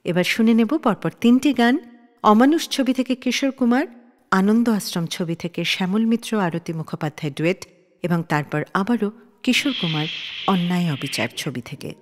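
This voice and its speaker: Lively woman radio storyteller, long reverb. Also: medium pitch, a little fast. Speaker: radio show host. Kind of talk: scripted storytelling narration for a music radio show.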